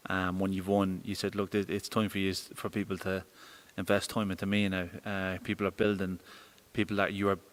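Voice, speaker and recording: A man's voice: sits low, monotone, fairly quick, hardly any pauses. A young man interviewing a boxer, rambling and hesitant with many fillers.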